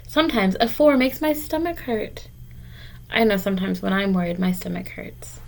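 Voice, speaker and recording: A woman's voice: mid-range voice, expressive, moderate pace, vocal fry. A woman teacher reading a picture book aloud to young children, explaining and encouraging them in simple, direct words.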